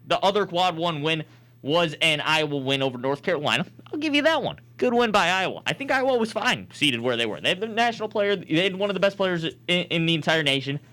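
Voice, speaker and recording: A man's voice: high-pitched, sing-song, a little fast, sharp tone. A young man working as a sportscaster, delivering sports commentary and opinion in an emphatic, argumentative manner. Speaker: sportscaster and sports talk host.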